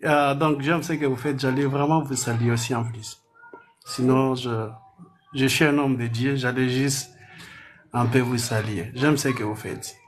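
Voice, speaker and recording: A young man calling in, hesitant and flattering, repeating himself as he asks for advice. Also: medium pitch, a little slow, slight background noise. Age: young adult. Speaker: caller.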